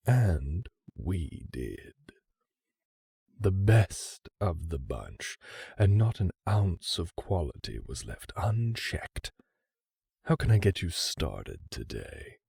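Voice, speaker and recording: A courteous male purveyor to an exclusive hotel, played by a man in an audio roleplay, his voice low, dark and husky. He speaks formally and with dry deference, his slow delivery swooping in pitch. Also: sing-song, dry recording, heavily acted. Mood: smooth, dry. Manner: formal, deferential, drily understated.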